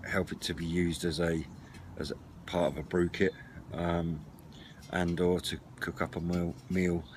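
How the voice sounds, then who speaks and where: A middle-aged man with a low voice talks informally through his kit, explaining as he goes and pausing to find words. He is recorded outdoors at a table, with clear background noise and clatter around the voice. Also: fairly bright tone. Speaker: presenter of a CB radio and camping gear channel. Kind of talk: casual product walk-through to camera. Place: outdoors at a wooden garden table by a house.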